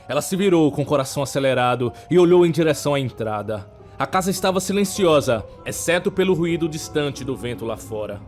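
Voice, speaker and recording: Higher-voiced Brazilian man narrating suspense over music. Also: expressive, a little fast, few pauses, a little mellow. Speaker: storyteller. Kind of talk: scripted horror and suspense story narration.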